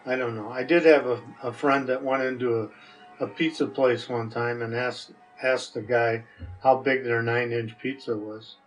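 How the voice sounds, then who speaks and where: An older man in a casual interview chat, answering in a halting way with dry humor. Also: medium pitch, fairly even intonation, distant mic, faint music behind, rough recording. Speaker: recurring interview guest.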